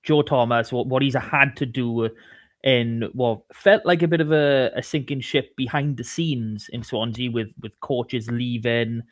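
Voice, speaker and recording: A man co-hosting a sports podcast, talking casually about rugby in a halting way, often repeating words. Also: mid-range voice, steady tone, no echo, clean studio sound.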